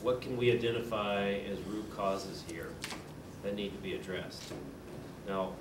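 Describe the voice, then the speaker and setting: A man chairing a school board meeting, explaining procedure in a measured way with frequent fillers. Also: fairly low pitch, fairly even intonation. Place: meeting room. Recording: distant mic, echoey room, noisy.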